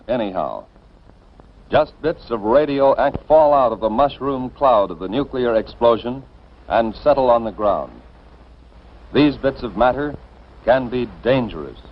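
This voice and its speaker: An American man reading scripted 1950s instructional film narration in an authoritative, deliberate manner, addressing the listener directly and emphatically. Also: mid-range voice, a little hiss or hum. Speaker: narrator of civil defense educational film. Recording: dull band-limited vintage film soundtrack.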